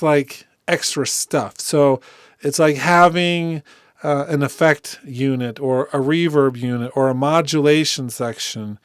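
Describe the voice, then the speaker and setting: A man giving a casual, conversational software walkthrough as a tutorial host. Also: fairly high pitch, no echo, studio quality. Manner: informal, conversational.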